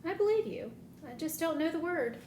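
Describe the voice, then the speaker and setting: A woman acting a high-pitched shop clerk in a comic stage play, with formal customer-service manners and a short fuse under them. The room echoes around the voice, with faint noise. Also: animated, a little fast, a little mellow. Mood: careful, puzzled. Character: polite bookstore returns clerk, woman, increasingly exasperated. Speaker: actor in a student play. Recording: roomy echo, low rumble, faint noise.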